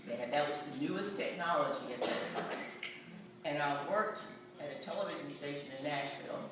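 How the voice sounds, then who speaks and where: A man's voice: fairly high pitch, brisk. A middle-aged man with a Tennessee accent, a journalism professor giving a wry, anecdotal public talk. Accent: Tennessee Southern. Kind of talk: public lecture. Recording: echoey hall, voice far from mic, background noise.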